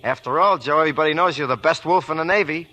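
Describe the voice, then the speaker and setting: Shy brooklyn sailor, bit high, bright tone, hesitant, old radio play. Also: male voice, fast talker. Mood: timid. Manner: timid, hesitant, eager to please. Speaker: lead actor. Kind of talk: 1940s radio acting. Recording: old 1940s radio broadcast.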